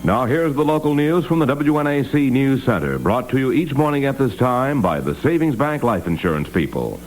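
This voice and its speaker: A man reading local news from a script in a formal, measured 1960s radio newscast manner. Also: medium pitch, lively intonation, darkish tone, rough recording. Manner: formal, measured announcer delivery. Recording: 1960s AM radio aircheck, faint hum.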